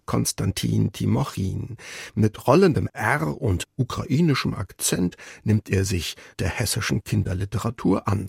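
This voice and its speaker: Deep, very gravelly male radio presenter. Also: darkish tone, dry recording, clean studio sound. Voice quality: very gravelly, husky. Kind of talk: scripted narration, feature moderation.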